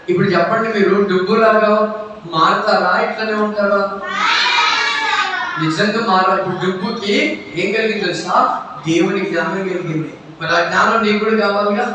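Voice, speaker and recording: Distant mic with clear background noise. Male host for church children's show, coaxing, questioning, repeats himself. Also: high voice. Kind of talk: addressing children at church event. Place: church hall. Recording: echoey hall, distant mic, noisy.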